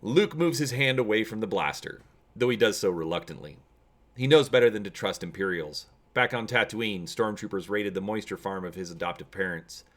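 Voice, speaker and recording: A man hosting a tabletop gaming channel, chatting to viewers and reading an adventure story aloud as narrator. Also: lowish voice. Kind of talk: casual video intro and dramatic reading of story narration.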